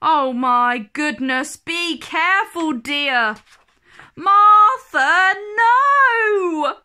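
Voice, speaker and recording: Woman acting shrill, alarmed bossy nanny. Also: animated, very slow, sharp tone, exaggerated voice. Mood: alarmed, scolding. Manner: exclaiming, scolding, squawking.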